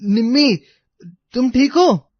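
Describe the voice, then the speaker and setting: A man's voice: very high-pitched, lively intonation, takes it slowly, dry recording, lo-fi sound, heavily acted. A man playing an earnest, devoted young man in love in a story. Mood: urgent, excited.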